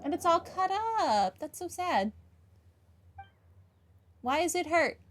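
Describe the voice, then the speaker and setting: A woman's voice: very high-pitched, animated, takes it slowly, a little hiss or hum. A woman giving casual, wry playthrough commentary, musing aloud as she plays a video game. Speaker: game streamer. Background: game music.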